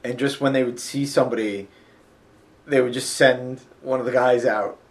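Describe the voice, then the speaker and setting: A man's voice: mid-range voice, steady tone, normal speed. A man with a sniffly nose, chatting casually and rambling about a road trip, full of fillers. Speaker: vlogger. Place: hotel.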